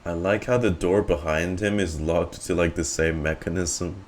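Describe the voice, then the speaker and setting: A man's voice: sits low, fairly even intonation, normal speed, a little hiss or hum. A man giving casual live reaction commentary as a game streamer.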